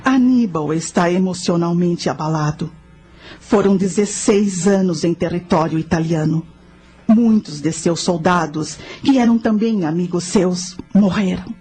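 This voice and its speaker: An actress playing a knowing spirit guide, telling ancient history and teaching in a measured, solemn way in a radio drama. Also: female voice, fairly low pitch, dark tone, slight background noise, natural voice. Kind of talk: scripted radio drama narration.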